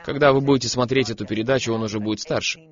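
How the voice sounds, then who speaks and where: A man's voice: mid-range voice, steady tone, racing, lo-fi sound. A young adult man, a guest preacher on a program, tells a personal story as part of a sermon and makes his points with emphatic repetition.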